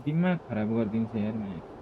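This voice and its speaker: Male gaming streamer, casual commentary, extremely quick speech, medium pitch, noisy livestream background. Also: expressive, phone-quality sound. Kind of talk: casual live game commentary. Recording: noisy livestream with game audio behind. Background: game sound.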